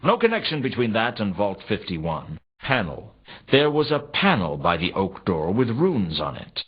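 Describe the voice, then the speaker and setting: An actor playing a weary, wry male private detective, narrating a noir video game in a deadpan, sardonic, measured way. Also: fairly low pitch, slightly rough, exaggerated voice. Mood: dry musing. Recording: slightly dull, compressed game audio.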